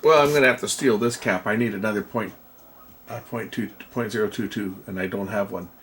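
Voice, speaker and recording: A middle-aged man giving casual, halting commentary to himself as he does hands-on repair work. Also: lowish voice, fairly bright tone, a little hiss or hum. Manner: halting, muttering to himself while working. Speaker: electronics repair vlogger. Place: indoor workbench.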